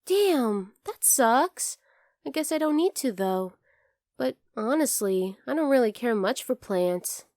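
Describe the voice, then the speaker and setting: A very high, girlish bunny girl, played by a woman, recorded close and dry for an ASMR roleplay. She is teasing and outdoorsy, curious about humans, and blunt in a casual, playful way. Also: animated, slow pace, darkish tone, no room sound. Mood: stubborn, playful. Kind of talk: ASMR roleplay addressed to the listener.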